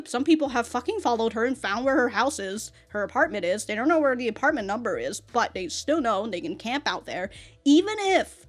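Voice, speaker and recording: Rambling, sweary woman reacting to anime. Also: fairly high pitch. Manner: sweary, stammering with repeated words; self-described exaggerated on-camera delivery. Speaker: YouTube reaction host.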